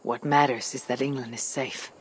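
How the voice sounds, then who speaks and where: An actor playing a resolute Viking clan leader in a game cutscene, speaking in a measured, formal way. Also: male voice, mid-range voice, steady tone, in a large hall, natural voice. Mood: grave. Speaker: Viking jarl character.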